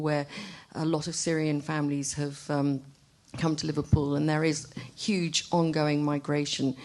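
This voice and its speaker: A woman artist speaking haltingly and off the cuff at a public discussion, often repeating words. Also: low-pitched, creaky, a little mellow. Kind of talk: spontaneous remarks at a public discussion. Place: arts centre event room. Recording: live event recording.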